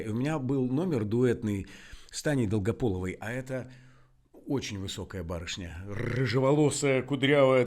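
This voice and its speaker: A man telling anecdotes in casual chat, enthusiastic and digressive, often halting and restarting mid-phrase. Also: mid-range voice, a little mellow.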